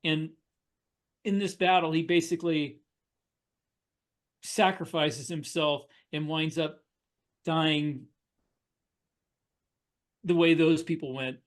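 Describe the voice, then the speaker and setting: A man explaining and telling stories casually as a podcast co-host, halting at times as he works out his points. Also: on the high side, steady tone. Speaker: podcast co-host and fiction author. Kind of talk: casual storytelling and discussion.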